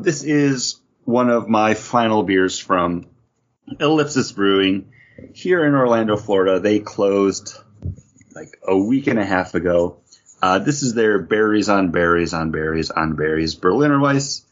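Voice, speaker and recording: A man's voice: on the low side, expressive, unhurried, highs cut off. A man hosting a podcast in casual, chatty talk with friends. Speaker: podcast host. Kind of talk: casual panel chat about beer and geek news.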